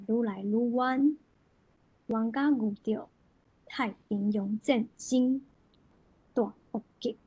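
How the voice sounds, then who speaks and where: Female poetry reader, Taiwanese accent, very unhurried, higher pitch, soft dark tone with some huskiness. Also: husky, no room sound, low-quality recording. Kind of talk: expressive literary recitation.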